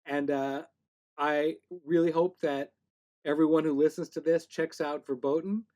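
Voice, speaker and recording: Higher-voiced man interviewing. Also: steady tone, a little slow, darkish tone. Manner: joking, wry. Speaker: podcast interviewer, host. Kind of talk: casual interview chat.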